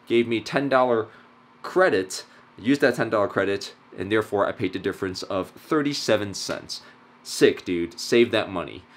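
Young man, non-native English speaker, casual vlog, slangy and excitable, speaks to a close camera indoors. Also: on the low side. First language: not English. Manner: excitable, slangy, says "dude" and "freaking" a lot. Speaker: vlogger. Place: car, then kitchen, then living room, indoors.

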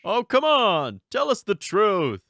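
A woman voicing a nosy, playful teenage school friend in a manga dub, teasing and expressive. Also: medium pitch, slow pace, heavily acted.

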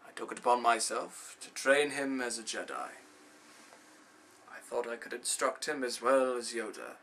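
A man performing a film monologue as an old, wise Jedi mentor, grave and wistful, with a measured storytelling manner. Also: medium pitch, expressive, fairly bright tone, slight background noise. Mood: wistful, instructive.

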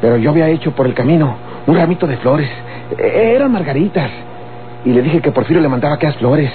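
Guy acting simple rural mexican thug, young, matter-of-fact, kinda halting, old radio drama, thin with faint hum. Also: a little high, animated, narrow, tinny audio, lightly acted. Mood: callous. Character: callous, simple young rural male henchman.

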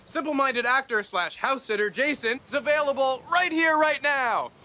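An actor playing an anxious, pessimistic teacher in a film comedy, speaking with dry sarcasm. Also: male voice, a little high, expressive, brisk, pushed and bright, a little hiss or hum. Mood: loud mockery.

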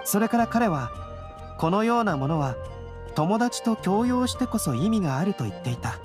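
Woman voicing a calm young male alchemist, lively intonation. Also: mid-range voice, moderate pace, music in the background, close to an everyday voice. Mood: calm, amused. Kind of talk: Japanese anime game voice acting.

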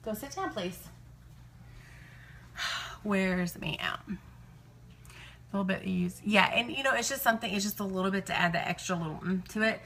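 A woman's voice: medium pitch, normal speed, pauses often, sharp tone, slight background noise. A woman in her thirties chatting casually and digressively to live viewers as she records in her home kitchen. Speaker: live-streaming vlogger and meal-prep host.

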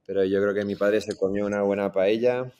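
A man in his forties with a Madrid Spanish accent, telling his story as an interview guest on a video call, relaxed and full of restarts. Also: fairly low pitch, brisk, clean tone, dull audio. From Iran, raised in Madrid. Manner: relaxed, many restarts and fillers. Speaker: startup founder guest. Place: in front of a green screen, indoors.

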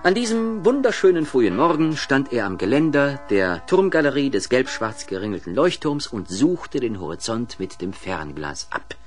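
A man narrating a children's radio play in an expressive storytelling manner. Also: medium pitch, normal speed, few pauses, faint music behind.

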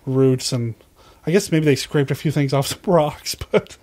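Higher-voiced man chatting about movies. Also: measured pace, a little mellow. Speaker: podcast host. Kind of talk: casual movie discussion.

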